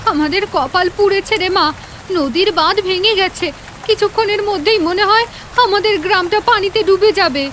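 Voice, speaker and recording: A woman's voice: very high voice, brisk, fairly bright tone. An actress voicing a worried, loving village mother who speaks in an anxious, pleading way in a cartoon. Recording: rain noise behind.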